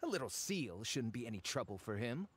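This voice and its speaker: A man's voice: mid-range voice, sing-song, a little fast, husky, no room sound. An actor playing a brash, greedy, impatient male treasure seeker in a video game. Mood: eager, scheming. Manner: impatient, sarcastic. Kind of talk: English video game voice acting.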